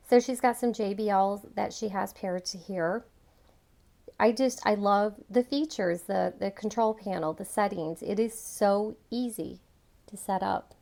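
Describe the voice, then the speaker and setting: A middle-aged woman giving a casual product review in a chatty, enthusiastic and emphatic way. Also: medium pitch.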